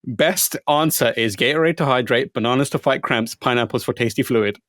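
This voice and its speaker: A man's voice: medium pitch, a little fast, fairly bright tone, no room sound, studio quality. A man co-hosting a podcast in casual group chat, swearing freely and adding wry asides. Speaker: podcast co-host.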